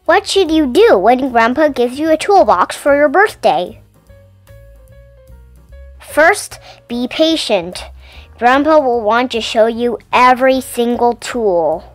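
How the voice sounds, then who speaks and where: A woman reads a picture book to children in a high, bouncy voice that climbs and dips with every line. She takes her time and lands each word with clear, playful emphasis. The sound is dry and close, with quiet music playing beneath her. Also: expressive, takes it slowly, dry recording. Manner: gentle, clearly enunciated storytelling with playful emphasis. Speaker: storybook reader and channel host.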